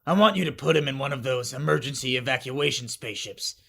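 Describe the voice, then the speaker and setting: A man playing a callous, hot-tempered army general in a fantasy animation, with a gruff, commanding manner and a gravelly voice. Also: mid-range voice, fast, fairly bright tone. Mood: cold, scornful. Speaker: voice actor. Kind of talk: animated fantasy drama acting.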